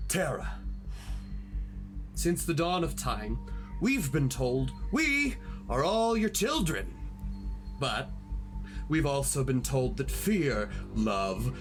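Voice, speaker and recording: Woman doing bitter rebel emotion in an audio drama, scornful n theatrical, slow delivery that swings all over. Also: extremely low pitch, exaggerated voice. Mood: indignant. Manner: theatrical, imperious, declamatory. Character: bitter, rebellious personified Selfishness, indignant and scornful.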